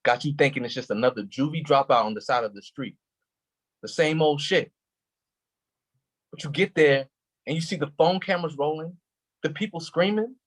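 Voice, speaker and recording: A man's voice: a little high, moderate pace, long pauses, lightly acted, low-quality recording. An actor playing a shaken, grieving male police officer in a staged play reading, raw and intense. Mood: anguished.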